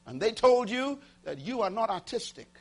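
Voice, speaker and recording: A man preaching with a high, husky voice that leaps up and down in pitch. He fires questions at the crowd, hammers his points by repeating them, and drops to a near hush before surging back loud. A faint hum sits under the recording. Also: big pitch swings, moderate pace. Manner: dramatic swings between loud and hushed, call-and-response questions; emphatic, repeating key phrases. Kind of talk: motivational sermon to a live audience.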